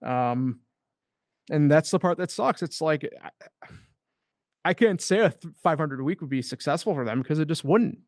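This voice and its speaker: A man's voice: a little high, animated, moderate pace, pauses often, no room sound. Rambling man, dry close sound. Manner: loose and rambling, heavy on fillers. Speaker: co-host of a sports podcast. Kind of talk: casual sports chat.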